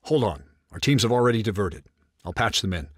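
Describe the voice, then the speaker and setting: Composed military commander, expressive and dry-recorded. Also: male voice, on the low side, brisk, husky, no room sound. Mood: grave, businesslike. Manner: clipped, businesslike, authoritative. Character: composed, brisk military intelligence commander running covert operations. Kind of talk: video game cutscene dialogue, mission briefing.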